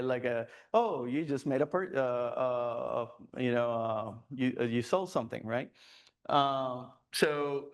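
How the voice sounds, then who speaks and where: A man's voice: medium pitch, clean tone. A man speaking as a guest at a photography club meeting, talking loosely with many fillers and restarts. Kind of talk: presentation with audience questions. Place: meeting room.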